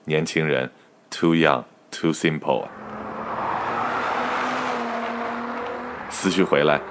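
A man's voice: very deep voice, fairly even intonation, moderate pace. A man narrating a comic radio drama as a wry, mock-serious storyteller, with noise behind him. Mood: wry, dramatic.

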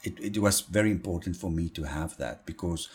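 A man from South Africa whose first language is Afrikaans, speaking English with an Afrikaans accent as an interview guest. He talks earnestly and grows animated when he tells stories. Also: low-pitched, brisk, slightly rough. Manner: earnest and animated, rising when telling anecdotes. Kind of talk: casual interview chat.

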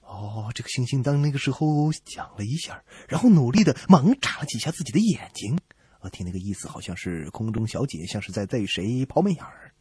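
A man's voice: mid-range voice, sing-song, fairly quick, a little mellow, breathy edge, no echo. A man co-hosting a comedy radio show, telling scripted jokes with exaggerated, playful reactions. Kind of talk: scripted comic banter and joke storytelling. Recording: music playing behind the voice.

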